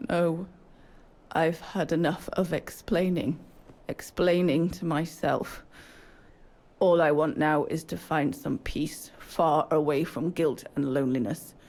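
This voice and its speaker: Worn out old lady in a story game, curt and guilty, drags her words out really slow. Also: deep voice, fairly even intonation, a little mellow, full character voice. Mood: weary, curt. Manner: curt, weary, deliberate. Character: weary, guilt-ridden old woman, curt and resigned. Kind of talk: dramatic game dialogue.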